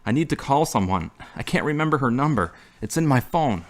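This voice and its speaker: A man's voice: medium pitch, expressive, a little fast, natural voice. A man acting a wounded young man in a hospital bed, curt and argumentative, coughing between lines. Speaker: lead actor. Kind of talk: amateur radio drama acting.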